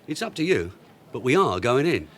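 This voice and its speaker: An actor playing a shrewd, middle-aged police sergeant in a radio drama, dry, sarcastic and bossy. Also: male voice, mid-range voice, big pitch swings, normal speed, slight background noise, close to an everyday voice. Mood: dry, commanding. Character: shrewd, sardonic veteran London detective sergeant. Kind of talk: naturalistic radio drama acting.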